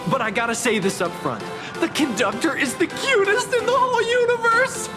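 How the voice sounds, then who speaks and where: A woman's voice: very high-pitched, moderate pace. A woman playing an enthusiastic, friendly young fan in an anime-style game. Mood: excited. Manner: gushing. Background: game music.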